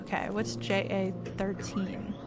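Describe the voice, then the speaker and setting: A woman's voice: medium pitch, monotone, unhurried. A woman streamer casually thinking aloud while playing a game and reading text off the screen. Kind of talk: casual let's-play commentary. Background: game music.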